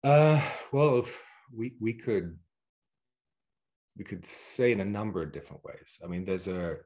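A middle-aged man on a video call, explaining reflectively as a guest teacher and often pausing to search for words. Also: on the low side, animated, a little mellow. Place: carpeted room at home. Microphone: video call, sitting back from the computer.

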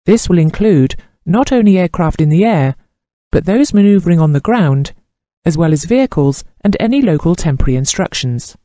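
A man reading scripted instructional narration in a formal, measured manner with precise enunciation. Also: fairly high pitch, lively intonation, dark tone, no echo, clean studio sound. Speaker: training course narrator.

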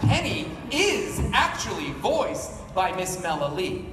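A man's voice: sits high, big pitch swings, slow pace, noisy background. A middle-aged man hosting a live fan convention panel in a playful, joking, showman-like way. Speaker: panel host. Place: large indoor convention hall, recorded from the audience. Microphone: handheld PA microphone, picked up by a distant camera. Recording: echoey convention hall from far off, loud crowd.

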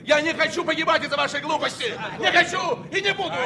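A man's voice: extremely high pitch, quick pace, a crowd in the background. A man playing a cowardly, hysterical co-pilot, indignant and blustering, repeating himself in a Soviet radio play. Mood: indignant panic. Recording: 1970 radio play, background voices.

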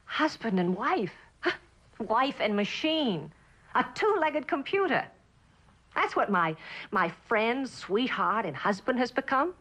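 An actress playing a lonely, neglected wife in a mid-century television drama, plaintive and halting, with a bitter edge. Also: female voice, fairly high pitch, very animated, a bit bright, exaggerated voice. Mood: bitter, sarcastic.

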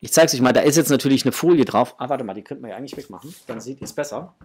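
A man in his thirties with a slightly husky voice, chatting informally to viewers as host of an unboxing video. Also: medium pitch, rapid-fire, noisy background. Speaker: host of a toy collecting channel. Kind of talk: casual chat, unboxing to camera. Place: small room at home, indoors.

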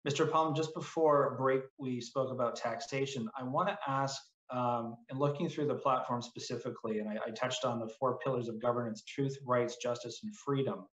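A man's voice: mid-range voice, fairly even intonation, normal speed, few pauses, fairly bright tone, highs cut off. A man hosting an interview show from an office over a video call, giving formal introductions and questions. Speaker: interview host. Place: indoor office room. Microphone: webcam on video call. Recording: roomy video call audio.

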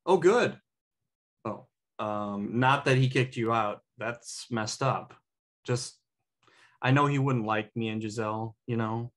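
A man's voice: mid-range voice, slow, close to an everyday voice. A man playing a sheepish, easygoing best friend in a sitcom table read, hesitant and joking. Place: dim indoor room at home on video call. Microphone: video call.

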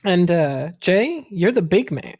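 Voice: medium pitch, big pitch swings, slow, a little mellow. A panelist on a comedy podcast, casually introducing readers and reading posts aloud.

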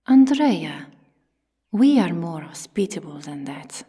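Woman doing amazon queen for asmr roleplay, soft mellow half whisper, formal n commanding, takes her time. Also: fairly low pitch, sing-song, takes it slowly, exaggerated voice. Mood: firm, commanding. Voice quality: soft, breathy, often whispering. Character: regal, firm, hospitable Amazon warrior queen.

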